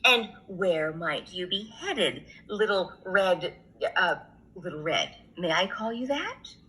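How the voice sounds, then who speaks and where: A woman as a sly storybook wolf, bright-edged. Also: fairly low pitch, expressive, full character voice. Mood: sly, charming. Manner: oily politeness with drawn-out, teasing words. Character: sly, hungry, smooth-talking male big bad wolf. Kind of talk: expressive children's storybook reading.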